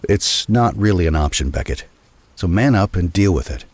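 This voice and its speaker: A man playing a calm, authoritative police lieutenant in an audio drama, soft-spoken but firm, with a husky voice. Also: low-pitched, moderate pace, no room sound. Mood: firm, impatient.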